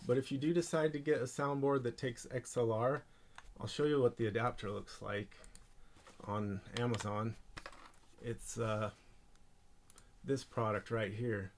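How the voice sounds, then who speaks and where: A man presenting an informal hands-on tech tutorial, speaking haltingly as he thinks aloud while handling gear. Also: mid-range voice, slight background noise.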